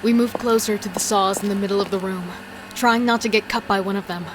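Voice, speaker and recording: A woman's voice: mid-range voice, steady tone, fairly quick, a little hiss or hum, natural voice, rough recording. A woman acting as a hard-edged female killer and thief, narrating and living through her ordeal in a horror audio drama with tense, dramatic delivery. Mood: tense storytelling.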